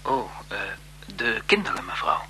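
An actor playing a shy, deferential seaside photographer in a 1970s Dutch radio play, halting and courteous. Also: male voice, mid-range voice, sing-song. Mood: polite, eager. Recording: 1970s radio drama with loud background noise.

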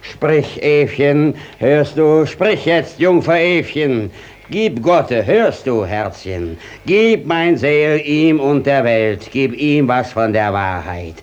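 Shifty old village judge, flustered, stammers a lot, fifties radio play acting. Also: male voice, mid-range voice, lively intonation, slow, muffled recording. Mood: wheedling, pressing. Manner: stammering, hesitant, evasive, wheedling. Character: shifty, flustered, blustering village judge, middle-aged or older man. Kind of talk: 1950s German classical radio play acting.

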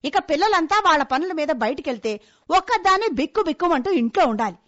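A woman's voice: high voice, animated, fast, a bit bright. A woman acting as a chatty middle-aged housewife who complains and answers back in a radio comedy play. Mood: aggrieved. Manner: complaining, retorting. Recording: dull, lo-fi radio play recording.

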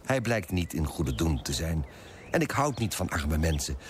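A pompous, lively merchant. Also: male voice, extremely low pitch, moderate pace, background noise. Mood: smug, reflective. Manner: pompous, disapproving. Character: smug, moralizing, self-righteous male Amsterdam coffee broker, narrating. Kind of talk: radio play acting with narration.

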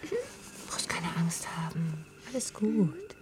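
A gentle mother speaks softly to her frightened child in a radio drama, slow and tender with a rising, lively lilt. Clear background hiss sits under the voice. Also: on the low side. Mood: soothing. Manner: soft, soothing.

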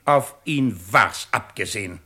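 An actor playing an imperious, courtly court president, declaiming formally and with irony in a 1950s radio play. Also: male voice, fairly low pitch, expressive, a little slow, pushed and bright, full character voice. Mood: sharp, accusing. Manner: formal, theatrical, emphatic declaiming.

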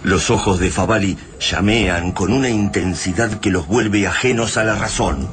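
A man's voice: lowish voice, moderate pace, a bit bright. A man delivering scripted narration for a radio drama. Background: music.

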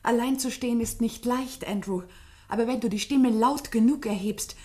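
An actress plays a supportive female confidante in a German radio drama. Her voice is fairly high. She speaks earnestly and deliberately, pressing her point with encouragement. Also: a little mellow, lightly acted. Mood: insistent. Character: supportive, insistent confidante of a scientist.

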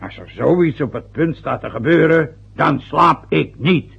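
A man's voice: fairly high pitch, a little slow, gravelly, breathy edge, full character voice. An older man playing a stubborn, sarcastic father in a radio drama. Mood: defensive. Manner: grumbling, sarcastic. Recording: dull lo-fi old radio recording.

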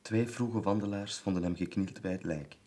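A grave village man narrating in a Flemish radio drama. His voice is very low. He speaks solemnly, as one voice of a chorus. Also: moderate pace, warm tone.

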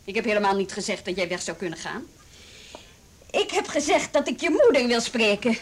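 A firm policewoman in a radio play. Her voice is high and moves around a lot in pitch, while her manner stays dry and businesslike as she questions someone. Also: lively intonation, fairly quick. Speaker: police officer. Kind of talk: Dutch radio play acting.

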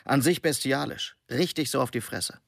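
An actor playing a coarse German soldier in captivity, talking bluntly about brutality. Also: male voice, mid-range voice, normal speed, gravelly, fairly bright tone, no echo, clean studio sound. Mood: boastful.

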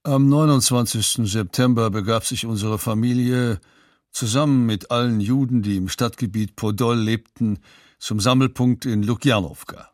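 A man's voice: mid-range voice, normal speed, no echo, studio quality, lightly acted. An actor playing a sober male survivor recounting his family's fate in a documentary radio drama. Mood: sober recollection.

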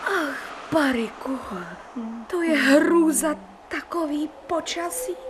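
Very high-voiced, fragile young typist. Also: female voice, fairly bright tone, a little hiss or hum. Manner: tender, plaintive, colloquial. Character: poor, kindly, fragile young woman typist. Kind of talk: radio drama acting.

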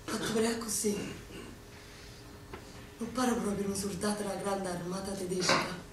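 A woman's voice: medium pitch, fairly even intonation, moderate pace, noisy background, lightly acted. An actress playing a young Neapolitan woman partisan in a stage monologue, with a Neapolitan accent and an impassioned, declaiming delivery. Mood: hushed, tender. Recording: very echoey stage from far off.

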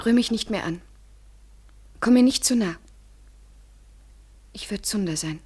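An actress playing an anguished, submissive woman desperately in love, breathy, in an intense poetic radio play. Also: mid-range voice, measured pace, darkish tone. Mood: fearful, resigned.

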